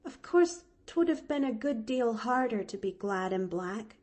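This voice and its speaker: A woman's voice: a little high, expressive, unhurried, a little mellow, voice pushed up. A woman voicing a cheerful, eager little orphan girl who talks breathlessly and gushes. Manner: breathless, gushing, chattery. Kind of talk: audiobook character voice.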